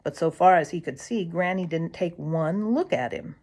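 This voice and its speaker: A woman reading a children's storybook aloud as a storyteller, with dramatic swells and emphatic pauses. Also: on the low side, darkish tone. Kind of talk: expressive children's storybook narration.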